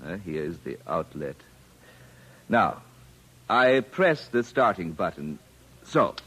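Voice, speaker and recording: An actor playing a pompous alien official in old-time radio drama, speaking in formal phrases that can turn flustered. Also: male voice, low-pitched, sing-song, a bit bright, slightly rough, heavily acted. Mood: politely guarded. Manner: formal, measured, swinging into flustered exclamation. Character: pompous, formal male alien official testing captive Earthmen. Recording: noisy vintage radio drama recording.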